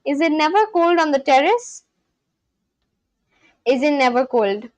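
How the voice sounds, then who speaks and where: A woman's voice: sits high, animated, unhurried, a little mellow, no room sound. A woman livestreamer chatting casually and practising language phrases aloud, in a chatty way. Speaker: livestream host learning Spanish.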